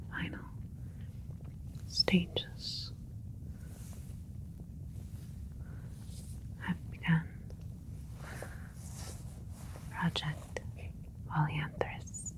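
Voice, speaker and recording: Alien doctor for sci-fi ASMR, female performer: low-pitched whisper, measured, clinical, calm, extremely unhurried. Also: low-quality recording. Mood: gentle, clinical. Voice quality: soft whispering. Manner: slow, measured, clinical, deliberate. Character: calm, clinical alien scientist doctor creating a plant hybrid.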